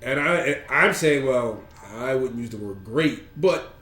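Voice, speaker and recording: A man's voice: mid-range voice, animated, unhurried, sharp tone. A man with a cold and a slightly nasal voice, co-hosting a casual podcast chat, rambling with lots of ums and restarts. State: has a cold, a bit nasal. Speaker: podcast co-host. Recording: echoey room.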